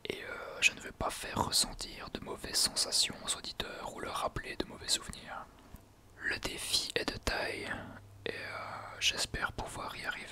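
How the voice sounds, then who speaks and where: A man hosts an ASMR talk entirely in a whisper. His voice is breathy and unvoiced, with no full tone behind it. He explains things gently and a little hesitantly, addressing the listener directly. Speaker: ASMR video creator, host.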